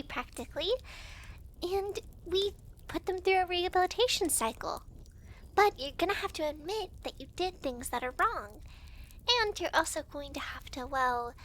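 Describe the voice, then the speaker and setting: A woman's voice: very high voice, a bit bright. A woman playing a chatty, teasing young devil girl clerk in an ASMR roleplay, speaking to the listener in a breezy, giggly way. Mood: chatty, persuasive. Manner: giggly, breezy, teasing, halting when flustered.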